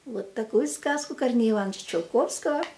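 An older woman reciting a children's verse tale in a theatrical, drawn-out and emphatic way. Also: fairly high pitch, a little mellow. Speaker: amateur children's storyteller with a plush puppet. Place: small room at home. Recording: echoey home room recording.

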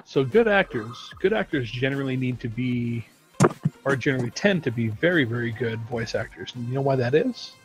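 A man's voice: mid-range voice, animated, brisk, faint music behind, low-quality recording. Talkative man explaining at length. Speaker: game studio team member on stream. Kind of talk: casual stream chat.

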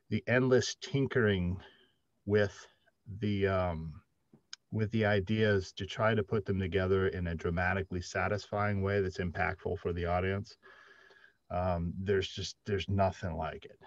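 A middle-aged man speaks low, in halting and thoughtful phrases, as a writer on a panel. The video call audio is a bit dull, with very little room echo. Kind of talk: reflective panel discussion.

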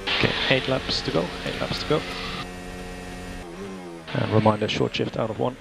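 A man's voice: medium pitch, moderate pace, vocal fry. A male race engineer giving clipped, matter-of-fact instructions to a driver over team radio, repeating key phrases. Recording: noisy team radio with car sounds.

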